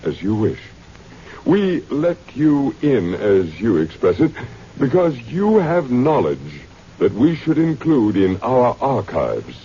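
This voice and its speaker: An actor playing a suave, learned older scientist in a radio drama, formal, precise and smoothly condescending. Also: male voice, mid-range voice, wildly swooping pitch, slow, dark tone. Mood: smooth, courteous. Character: suave, learned old male scientist running a grand project. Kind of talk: old-time radio drama acting. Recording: muffled, noisy vintage radio recording.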